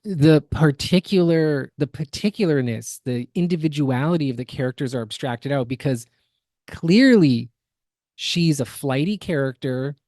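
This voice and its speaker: A man's voice: on the high side, animated, normal speed, a little mellow, no echo. A man co-hosting a podcast, giving analytical, opinionated reaction commentary with emphatic delivery. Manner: analytical, emphatic, builds word lists. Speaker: podcast co-host.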